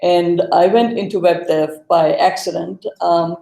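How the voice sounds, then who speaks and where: A woman's voice: on the low side, steady tone, a little slow, gravelly, dark tone, roomy sound. A woman, hosting a live web Q&A show over a video call. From Germany. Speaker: host. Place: home room, video call.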